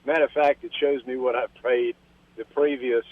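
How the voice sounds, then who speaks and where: A man's voice: mid-range voice, fairly even intonation, normal speed, dry recording. Older man calling in over a phone line. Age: 70s. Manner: earnest, polite, says "ma'am". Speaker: caller. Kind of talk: call-in radio question.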